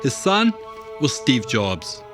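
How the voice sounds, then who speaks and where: Guy narrating a doc, measured and pointed, soft music under. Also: mid-range voice, big pitch swings. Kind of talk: scripted documentary narration.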